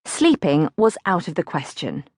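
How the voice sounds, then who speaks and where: Expressive woman narrator, very dry recording. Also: deep voice, moderate pace, no echo. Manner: expressive, acts out questions. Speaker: audiobook narrator. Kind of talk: scripted audiobook narration of a childhood diary.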